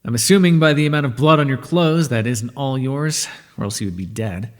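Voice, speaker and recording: A man playing a gentle, teasing underworld god. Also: mid-range voice, a little fast, natural voice. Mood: fond, concerned. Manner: concerned, lightly teasing. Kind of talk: ASMR audio roleplay. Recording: long hall reverb.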